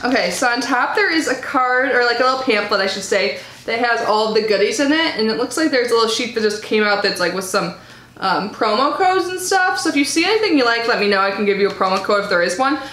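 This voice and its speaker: A woman's voice: fairly high pitch, a bit bright, a little hiss or hum. Chatty nasal young woman, echoey room. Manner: chatty and bubbly, lots of likes and ums. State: nasal from allergies. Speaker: unboxing vlogger. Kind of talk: casual unboxing chat.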